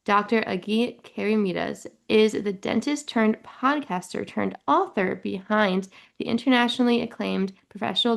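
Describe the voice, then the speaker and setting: A woman's voice: mid-range voice, gravelly. A woman hosting a podcast, reading a polished guest introduction and then asking easy, conversational questions. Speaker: podcast host. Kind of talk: podcast interview.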